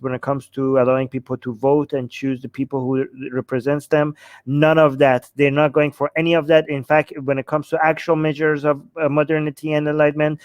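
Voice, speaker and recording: A man's voice: mid-range voice, clear, resonant tone, no room sound. A man hosting a panel discussion, speaking emphatically and repeating phrases for stress. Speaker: host of a discussion show.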